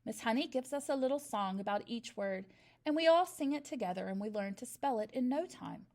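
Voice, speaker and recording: Brief: woman putting on a brave small boy; high, eager, piping voice. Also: lively intonation, brisk, a little mellow. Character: brave, eager little schoolboy.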